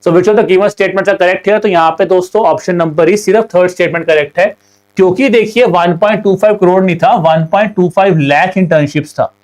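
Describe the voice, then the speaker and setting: A man's voice: high-pitched, a little mellow. Indian man with a sore throat, teaching. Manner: mixes Hindi and English, slow when reading questions aloud, fast when explaining answers. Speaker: exam prep teacher. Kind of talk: online class solving quiz questions.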